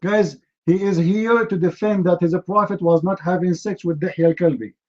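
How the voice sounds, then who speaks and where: A man's voice: sits high, steady tone, normal speed. A man whose first language is Arabic, hosting a heated online debate, combative and mocking, pressing his opponent again and again. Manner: combative, mocking, repeats demands. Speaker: debate host. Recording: dull lo-fi stream audio.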